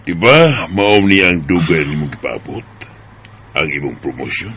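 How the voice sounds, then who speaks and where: A man's voice: low voice, big pitch swings, normal speed, a bit bright, slightly rough, full character voice. A man with a Bisaya Filipino accent playing a genial, authoritative middle-aged office boss in a radio drama. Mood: approving.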